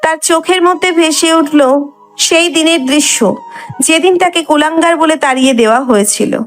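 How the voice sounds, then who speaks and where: High-voiced woman narrating a story over music. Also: normal speed. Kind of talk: scripted dramatic story narration. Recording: background music under the voice.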